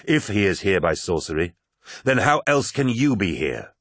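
A man's voice: fairly low pitch, sing-song, normal speed, fairly bright tone, no echo, clean studio sound, full character voice. Guy doing a proud bossy warlord for an audiobook, defiant, suspicious, really hammers the words. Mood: suspicious, accusing. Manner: imperious, emphatic.

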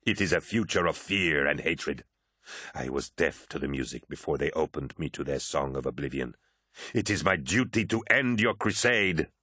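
Raspy, extremely deep fanatic warrior. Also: male voice, expressive, normal speed, pushed and bright, no echo, studio quality, exaggerated voice. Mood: grim. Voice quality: harsh rasp. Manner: grave, zealous, declamatory. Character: disdainful fanatical male warrior prophet of dark gods.

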